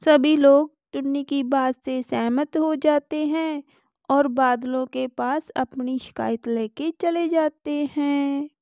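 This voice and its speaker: High-pitched, unhurried woman storyteller. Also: fairly even intonation, mellow, dry recording, lo-fi sound. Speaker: narrator. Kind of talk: scripted children's story narration.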